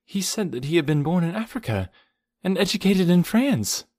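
A gentle young woman, acted out by a male reader, speaking in a raised, lilting pitch. She is earnest and concerned, explaining things carefully to a close friend in storybook style. The recording is dry and close. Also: big pitch swings, moderate pace, no echo. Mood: sad, explaining. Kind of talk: audiobook character voice.

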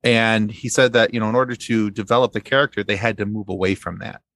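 Man, low voice, casual podcast guest, rambling, repetitive phrasing, dry close mic. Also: steady tone, fast, fairly bright tone, dry recording. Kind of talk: casual fan discussion.

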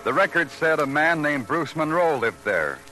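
An actor playing a deadpan, terse police detective who narrates his case and questions people in an old noir radio drama. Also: fairly high pitch, normal speed, soft background music, poor audio. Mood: wry, brooding.

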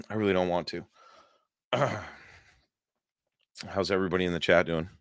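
A hoarse man hosting a paranormal podcast livestream, chatting casually and rambling with many fillers and chuckles. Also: sits low, steady tone, normal speed, no room sound, studio quality. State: hoarse, losing his voice.

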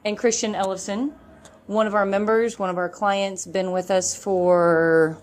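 A woman's voice: mid-range voice, fairly even intonation, unhurried. A woman hosting a podcast, chatting with enthusiasm and energy. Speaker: podcast host. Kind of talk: upbeat podcast chat.

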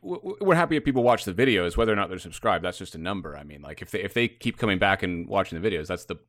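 Quick, low-voiced man telling a story. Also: expressive, fairly bright tone, no room sound, clean studio sound. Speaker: car channel co-founder. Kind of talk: casual podcast chat.

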